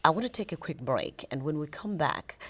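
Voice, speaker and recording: A woman with a Zimbabwean accent hosting a conversational interview, often pausing to rephrase as she goes. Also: sits low, very animated, a bit bright, breathy edge. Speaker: podcast host and interviewer.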